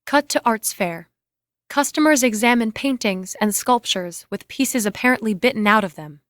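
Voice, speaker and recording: A woman's voice: fairly high pitch, normal speed, no room sound, clean studio sound. A woman reading out the scene directions of a screenplay as scripted narration. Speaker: reader of stage directions.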